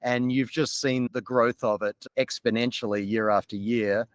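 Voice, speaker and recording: A middle-aged Australian man, a podcast guest, talking in a measured, articulate way about his career. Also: medium pitch, fairly even intonation, smooth, dry recording. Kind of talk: podcast interview.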